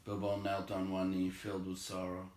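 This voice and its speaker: A man reading a novel aloud as expressive, measured storytelling narration. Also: deep voice. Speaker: amateur storybook reader. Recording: roomy, echoey home recording.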